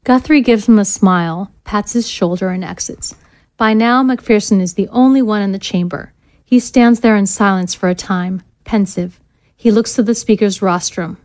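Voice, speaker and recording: A woman's voice: medium pitch, moderate pace, darkish tone, no room sound. Female narrator for scene directions, mid-range voice, scripted delivery, dry studio sound.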